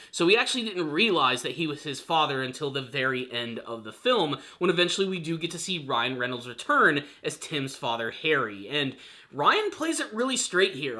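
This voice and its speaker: Man, film reviewer, bright forceful voice, slightly high pitch, emphatic, enthusiastic commentary. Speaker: film reviewer, channel host. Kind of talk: video review commentary to viewers.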